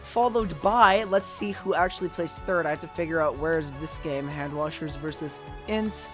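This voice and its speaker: A tournament host on a livestream with a medium-pitched voice that is going from long hours of talking. The delivery is casual and appreciative, aimed straight at viewers. Faint music plays behind the voice. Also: normal speed. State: losing voice after two days of talking. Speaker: tournament host and streamer caster. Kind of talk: casual esports livestream wrap-up, chatting with viewers.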